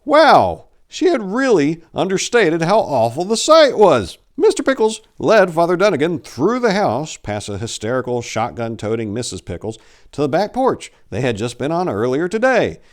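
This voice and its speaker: A man in his forties giving a theatrical, expressive dramatic reading of horror fiction. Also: a little high, moderate pace. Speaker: storyteller. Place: small indoor room lined with bookshelves. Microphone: lapel.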